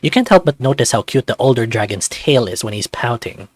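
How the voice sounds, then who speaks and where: A man's voice: medium pitch, animated, brisk, no room sound, studio quality, soft background music. A man hosting a casual playthrough, reading a visual novel's narration aloud.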